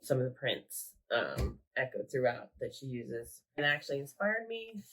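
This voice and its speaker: A woman's voice: very deep voice, sing-song, normal speed, a bit bright. A woman with a nasal voice who is sick and congested, chatting casually in a rambling, self-correcting way while showing her craft projects at home. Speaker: craft vlogger. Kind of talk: casual show-and-tell vlog.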